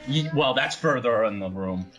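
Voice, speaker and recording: A man's voice: mid-range voice, animated, normal speed, a bit bright, soft background music. A man who acted in the film, talking casually on a cast commentary.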